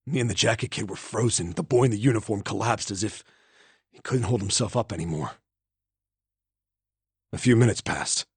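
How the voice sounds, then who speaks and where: A man's voice: on the low side, moderate pace, pauses often, darkish tone, husky, no room sound. An actor playing a haunted man who painfully narrates a traumatic memory in a video game. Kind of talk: dramatic first-person game narration.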